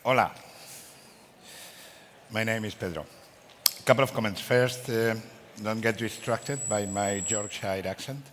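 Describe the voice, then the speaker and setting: Low-voiced Spanish man at a conference. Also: measured pace, long pauses, noisy background. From Spain. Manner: friendly, joking opener. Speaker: conference speaker. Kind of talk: conference talk. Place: large conference hall.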